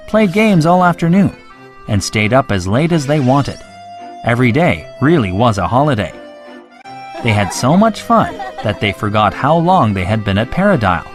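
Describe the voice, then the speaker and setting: A narrator reading a scripted children's story. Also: medium pitch.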